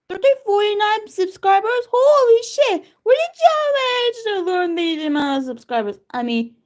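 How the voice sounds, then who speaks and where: A woman from Turkey with a Turkish accent, whose first language is Turkish, chatting casually on a live stream, excitable and greeting viewers by name. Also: very high voice.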